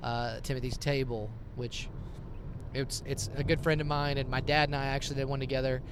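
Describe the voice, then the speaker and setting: A man's voice: medium pitch, level tone, a little fast. A man guesting on a podcast, earnest and talkative, holding a handheld mic in a moving car. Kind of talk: casual chat. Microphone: handheld mic held backwards. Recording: clear road noise from a moving car.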